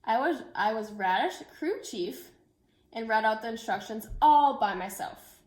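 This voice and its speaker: Higher-voiced woman reading aloud in an echoey room. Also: animated, measured pace, darkish tone. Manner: addresses children directly and informally. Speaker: elementary school teacher. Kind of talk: picture book read-aloud with chatty asides to children.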